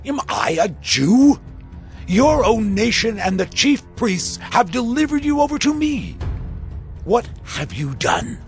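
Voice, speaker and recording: An actor playing an imperious, exasperated Roman governor in a scripture drama, with declamatory, drawn-out lines. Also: male voice, high voice, wildly swooping pitch, full character voice. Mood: probing, scornful. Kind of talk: dramatized scripture audio acting. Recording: distant mic under loud music.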